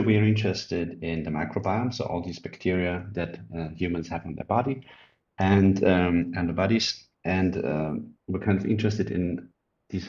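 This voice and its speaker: A man's voice: low-pitched, vocal fry, a little mellow, highs cut off. A man in his thirties from Austria with an Austrian German accent, earnestly explaining his immunology research with many ums and uhs. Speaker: immunology researcher, group leader. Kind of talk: self-introduction explaining research.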